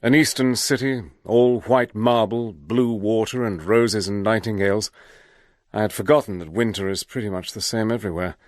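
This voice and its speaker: An actor playing a resourceful, determined spy-adventurer in a radio drama, brisk and wry, also narrating in the first person. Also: male voice, on the low side, fairly even intonation, lightly acted. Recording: radio drama with noise behind.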